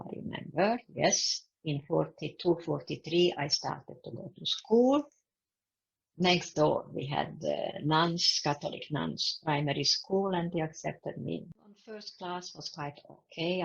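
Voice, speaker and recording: A woman in her eighties from Serbia giving personal testimony over a video call, speaking slowly in halting phrases. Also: deep voice, vocal fry, husky. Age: 80s. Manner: slow, halting, non-native phrasing. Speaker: Holocaust survivor interviewee. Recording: dull lo-fi video call audio.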